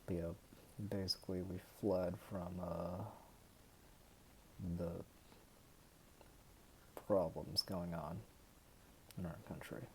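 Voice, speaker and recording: A young man in his twenties from the United States, talking hesitantly and softly to the camera at home late at night. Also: low-pitched, little pitch movement, creaky, slight background noise, low-quality recording. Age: 20s. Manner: hesitant, halting and soft-spoken. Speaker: vlogger. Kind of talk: personal talk to camera.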